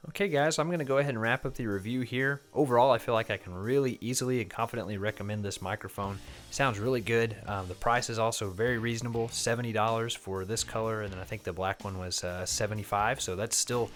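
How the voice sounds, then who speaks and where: Low-voiced man, relaxed gear review. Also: quick pace, few pauses, soft background music. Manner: relaxed, rambling with many fillers. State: slightly low voice, getting over an illness. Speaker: music teacher reviewing a microphone. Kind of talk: casual gear review and demo. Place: small, fairly dead room. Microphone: condenser microphone, no pop filter, varying distance.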